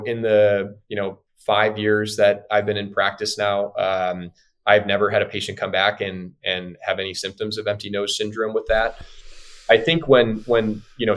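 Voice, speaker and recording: A man's voice: fairly low pitch, a bit bright. A man in his thirties, an ENT surgeon, explaining patient cases in a casual podcast interview.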